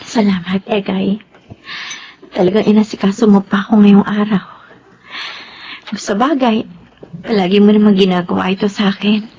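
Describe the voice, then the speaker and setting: An actress playing Abi, a young wife who cannot have children, speaking softly and hesitantly. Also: female voice, medium pitch, steady tone, normal speed, long pauses, dull audio, a little hiss or hum, lightly acted. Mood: grateful. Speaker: Abi, married woman. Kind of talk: Tagalog drama acting.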